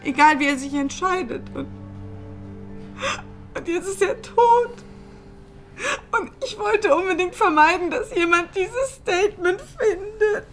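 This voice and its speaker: A woman's voice: very high-pitched, expressive, a little slow, pauses often, faint music behind, exaggerated voice. An actress playing a grieving young woman, loyal confidante to a dead friend, in a screen drama. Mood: sad, earnest, then crying. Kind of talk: dramatic film dialogue.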